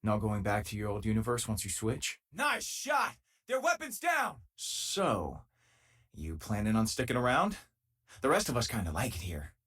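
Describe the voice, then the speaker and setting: An actor playing an easygoing, loyal and wry space miner companion in a video game, with a husky, conversational delivery. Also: male voice, lowish voice, huge pitch swings, normal speed, a bit bright, exaggerated voice. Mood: urgent battle shouts.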